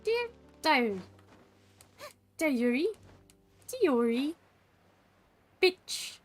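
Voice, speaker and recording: Woman game streamer, highish voice, playful n sweary, casual chat, quiet game music behind. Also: sing-song, very slow. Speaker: live streamer playing a game. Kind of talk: casual gaming commentary and reading aloud. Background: faint game music.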